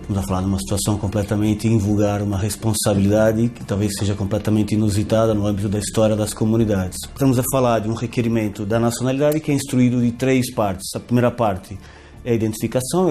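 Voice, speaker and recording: A man's voice: fairly low pitch, mellow. A man with a European Portuguese accent giving a formal explanation in a documentary interview.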